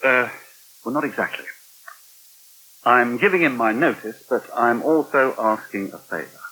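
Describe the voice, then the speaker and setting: An actor playing a polite, hesitant but determined British journalist in a 1980 radio soap. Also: male voice, mid-range voice, moderate pace, pushed and bright, low-quality recording. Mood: tentative.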